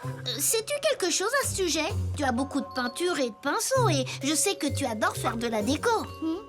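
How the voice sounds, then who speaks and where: A little wooden puppet boy with a very high, bouncing voice. He is an earnest young detective from a children's cartoon, taking his time over each question, with music playing underneath. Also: big pitch swings, brisk. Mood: inquisitive, bright. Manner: earnest, inquisitive, enthusiastic. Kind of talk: French children's cartoon dub acting.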